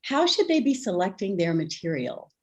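Brief: woman interviewer, lively pitch, encouraging and brief. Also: moderate pace. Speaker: podcast host.

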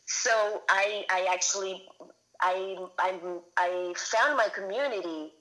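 A woman's voice: mid-range voice, slow pace, sharp tone, rough recording. A woman in her thirties from Venezuela, a filmmaker being interviewed, talking casually with many restarts and fillers. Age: 30s. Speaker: guest filmmaker.

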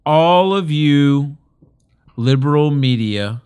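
A man's voice: fairly high pitch, lively intonation, takes it slowly, darkish tone, clear, resonant tone. Argumentative, joking man in casual debate. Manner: argumentative, joking, repeats points for emphasis. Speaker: podcast co-host. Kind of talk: casual political banter.

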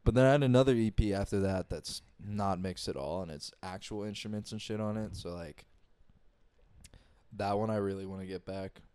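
An easygoing young man talks on a podcast mic in a low, mellow voice. He mumbles a little and swears casually, and his talk stays relaxed. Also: normal speed, smooth, dry recording. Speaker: podcast co-host. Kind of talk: casual chat.

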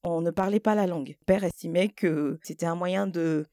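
A woman's voice: on the low side, normal speed, mellow, no echo, studio quality. A woman from Côte d'Ivoire co-hosting a podcast, chatting in an easygoing way with frequent hesitations. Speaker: podcast co-host. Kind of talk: conversational podcast chat.

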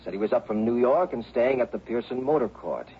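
A man's voice: mid-range voice, expressive, a little fast, natural voice. An actor playing a harried, chatty American insurance company man in a 1960s radio drama, agitated and exasperated as he talks. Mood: animated, gossipy. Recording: muffled, hissy old radio broadcast.

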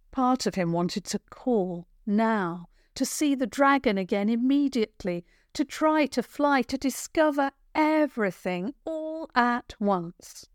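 A woman narrating a scripted children's adventure story in a measured, expressive storytelling manner. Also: medium pitch, no echo, studio quality.

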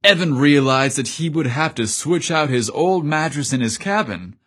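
A man's voice: a little high, expressive, normal speed, fairly bright tone. A man narrating a scripted story as its host, in a dramatic, theatrical and emphatic style.

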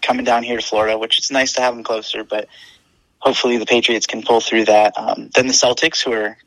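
A man's voice: mid-range voice, little pitch movement, fast, a bit bright, no echo, rough recording. A man in his twenties, a podcast guest, talking in an easygoing casual way. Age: 20s. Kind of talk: casual chat.

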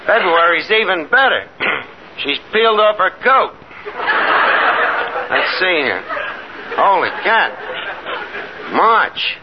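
A man's voice: high-pitched, sing-song, slow, pauses often, full character voice. A man playing a brash, scheming, wisecracking tavern manager in a 1950s radio comedy, slangy with pompous big words. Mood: wry, wisecracking. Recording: noisy, muffled old radio recording.

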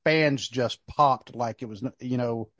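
A male podcast host with a mid-pitched voice, recorded dry and close to room-free. He talks casually and conversationally, offering opinions in a sports discussion. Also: unhurried, a bit bright, no echo.

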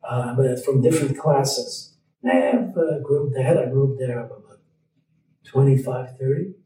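Older man telling stories, halting. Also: medium pitch, mellow, heavy reverb, far from the mic, poor audio. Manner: halting, many restarts, slow and deliberate. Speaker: guest, Holocaust survivor. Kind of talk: recorded interview, storytelling.

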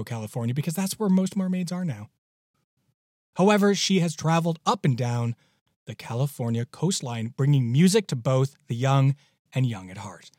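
A man's voice: mid-range voice, darkish tone, dry recording, clean studio sound. A man hosting a podcast, giving a casual introduction in a playful, emphatic, exclamatory manner. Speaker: podcast host.

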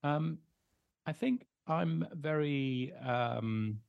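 Gravelly middle-aged English man, hesitant. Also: a little high, extremely slow, a little mellow, no room sound, lo-fi sound. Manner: hesitant, many ums and restarts. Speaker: interview guest. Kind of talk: casual conversational interview.

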